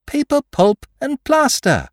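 A man reading aloud and voicing an excitable young male amateur detective who shouts with wonder and admiration. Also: a little high, a little slow, no room sound, studio quality, pitched above the performer's own voice, exaggerated voice. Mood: excited. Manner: feverish, exclamatory, admiring.

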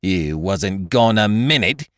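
A man voicing a sharp-tongued young chambermaid who scolds in common speech. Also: sits low, expressive, slow, no room sound, clean studio sound, heavily acted. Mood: indignant.

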